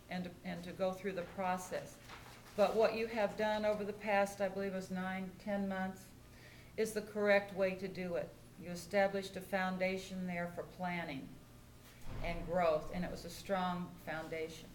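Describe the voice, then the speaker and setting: An older American woman, a mayor chairing a public meeting and making informal remarks. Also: medium pitch. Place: indoor council meeting room. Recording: echoey meeting room with background noise.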